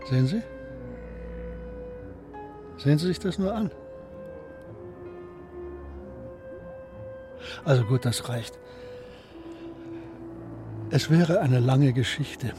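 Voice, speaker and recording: Haunted male suspect for a radio play: mid-pitched, halting, melancholy poetic delivery with clear music behind. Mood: agitated, then brooding, resigned. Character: brooding, haunted male suspect under interrogation.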